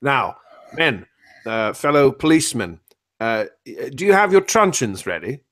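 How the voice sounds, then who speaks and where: A man playing a fussy, officious detective who gives halting orders in an improvised radio comedy. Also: medium pitch, very animated, fairly bright tone. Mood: officious, hushed urgency. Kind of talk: improvised old-time radio parody.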